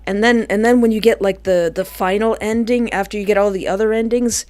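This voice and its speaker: A woman's voice: medium pitch, fairly even intonation, a little fast. A woman livestreamer chatting casually and effusively with her viewers, reacting aloud as she goes. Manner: effusive, informal, reacting aloud to chat messages. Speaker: livestream host and game developer. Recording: soft music behind the voice. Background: background music.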